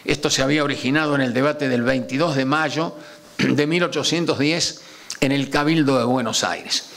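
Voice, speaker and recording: An older man with an Argentine accent lectures from a panel table, his voice sitting somewhat high for a man. He is animated, stretching key words out for weight and tossing in rhetorical questions. He speaks into a gooseneck microphone in a conference room. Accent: Argentine Spanish. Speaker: lecturer on constitutional history. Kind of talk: academic lecture.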